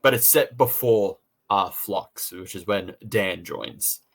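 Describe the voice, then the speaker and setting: A man's voice: sits low, slow pace, gravelly, breathy edge. A man with an Australian accent hosts a podcast review in a casual, chatty way with a jokey, teasing streak.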